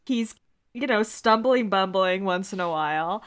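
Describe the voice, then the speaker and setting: A woman's voice: medium pitch, unhurried, clear, resonant tone. Casual, irreverent woman chatting. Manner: irreverent, sweary, playful. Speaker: podcast co-host. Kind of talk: casual chat.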